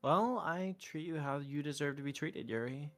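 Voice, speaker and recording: A man's voice: on the high side, moderate pace, fairly bright tone, soft background music. A man streaming a game, reading a visual novel's narration and dialogue aloud into a close mic.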